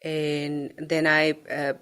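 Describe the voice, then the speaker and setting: Salvadoran woman, low-voiced, chatty guest. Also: completely flat delivery, very slow, fairly bright tone, smooth. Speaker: guest, coffee business owner. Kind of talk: radio interview conversation.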